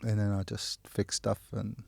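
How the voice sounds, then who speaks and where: A man's voice: on the low side, level tone, unhurried, creaky, a little mellow, slightly rough, no echo. A man in his sixties from Kenya and Zimbabwe, a teacher and handyman, talking in a casual family interview with self-deprecating, chuckling humour.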